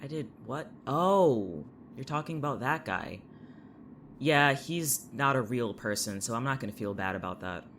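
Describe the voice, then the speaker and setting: A man playing a jaded, defensive high school student in an audio drama, speaking in a blunt, sarcastic, irritable way. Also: lively intonation, unhurried, fairly bright tone, a little hiss or hum. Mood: defensive, dismissive. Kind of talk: audio fiction dialogue.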